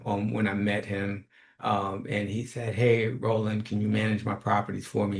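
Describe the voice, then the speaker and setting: Low, gravelly New York-raised man. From New York, originally Haiti. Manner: blunt, rough around the edges, tags sentences with "right?". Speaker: host, property manager and investor. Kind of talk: casual unscripted YouTube monologue.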